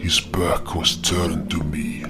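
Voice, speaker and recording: A man's voice: sits low, flat delivery, whispered, over music. A man playing a brooding, wounded beast hunter, narrating his own tale solemnly in a dramatic audio story. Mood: solemn, wistful. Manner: solemn, slow, deliberate delivery.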